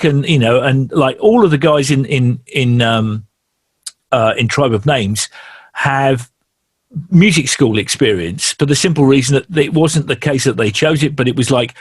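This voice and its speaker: A man's voice: mid-range voice, breathy edge, no echo. A man with a South London accent, a musician chatting casually in an interview and telling stories with many restarts. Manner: anecdotal, self-deprecating, frequent restarts. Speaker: guest musician.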